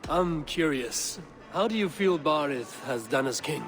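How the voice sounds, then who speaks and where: An actor playing a polite, inquisitive traveller in a video game, courteous and measured. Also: male voice, a little high, expressive. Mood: polite, curious. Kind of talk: video game character dialogue. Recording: loud music behind.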